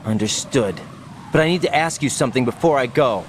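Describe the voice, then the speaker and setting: A man's voice: mid-range voice, expressive, a little fast, slight background noise, natural voice. An actor playing an anxious young special operative in a video game, urgent and incredulous as he questions things. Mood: uneasy.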